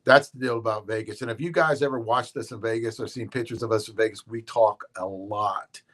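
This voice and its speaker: Dude co-hosting a live chat, tells stories all animated, acts out the quotes. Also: male voice, lowish voice, fairly quick. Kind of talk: casual live-stream chat.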